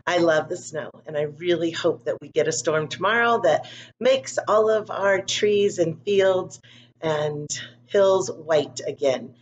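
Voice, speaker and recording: A woman hosting a read-aloud for young children, reading a picture book expressively and deliberately with chatty asides. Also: lowish voice, muffled recording. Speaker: school read-aloud host.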